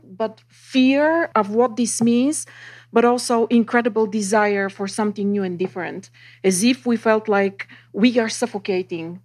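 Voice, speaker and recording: A woman's voice: fairly high pitch, a little mellow. Reflective Bulgarian-born woman panelist. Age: 50s. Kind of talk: personal recollection on a panel.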